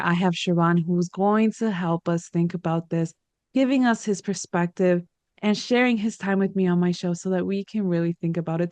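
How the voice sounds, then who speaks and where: A woman from the United States hosting an interview show, lively, chatty and emphatic. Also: mid-range voice, no room sound. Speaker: podcast host. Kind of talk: conversational interview.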